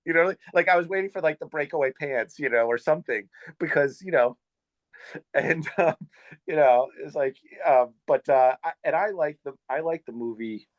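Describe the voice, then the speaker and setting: A man in his fifties hosting a podcast, talking in a casual, halting way that is full of fillers. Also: fairly high pitch, no room sound, dull audio. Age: 50s. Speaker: podcast host.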